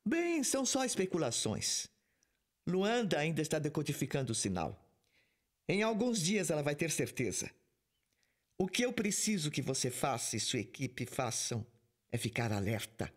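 High-pitched, stern space commander. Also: male voice. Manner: firm, authoritative. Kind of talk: audio drama dialogue.

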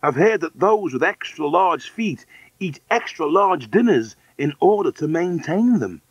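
A man's voice: a little high, very animated, measured pace, husky, no echo, dull audio, voice pushed up, heavily acted. A man voicing a lazy, scheming adoptive father in a children's storybook, wheedling and mock-polite. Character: sly, lazy, falsely sweet adoptive father.

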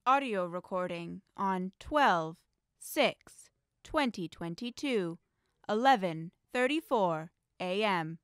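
Woman as smooth, impersonal log announcer. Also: medium pitch, expressive, slow pace, dry recording, studio quality. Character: impersonal log announcer stating recording details. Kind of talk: clipped announcement in audio drama.

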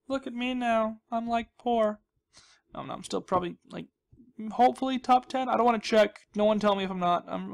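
A man's voice: high voice, gravelly. A man hosting a gaming channel with casual, rambling commentary, playful and goofy, often repeating his words and phrases.

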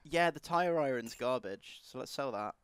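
Lively, wry woman commentator. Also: rumbling low, moderate pace, clean tone, dry recording. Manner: wry, deadpan, joking. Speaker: let's play host. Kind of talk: casual gameplay commentary.

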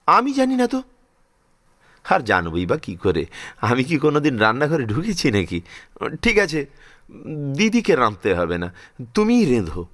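A man's voice: fairly high pitch, a bit bright, heavily acted. An actor playing a protective, grieving older father-in-law in a Bengali audio drama, speaking emphatically and emotionally with a Bengali accent. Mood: surprised, questioning. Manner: emphatic, emotional, repeats phrases.

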